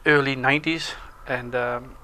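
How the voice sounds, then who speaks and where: A Welsh man chatting casually in a local TV interview, talking about himself with lots of pauses and fillers. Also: medium pitch, slight background noise. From Wales. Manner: relaxed, hesitant with many uh fillers. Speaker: show co-host and security instructor.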